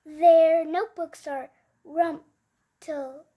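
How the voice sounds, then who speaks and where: A female reader with a very high, childlike pitch tells a children's story aloud. She goes extremely slowly, word by word, and stresses key words expressively. Now and then she trips slightly on the text. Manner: expressive, emphatic, word-by-word reading with occasional stumbles.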